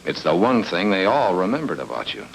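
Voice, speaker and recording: A man's voice: sits low, sing-song, a little fast, vocal fry, a little hiss or hum, heavily acted, poor audio. A man playing a sardonic, probing private eye in a film noir radio drama, terse and wry. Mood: needling.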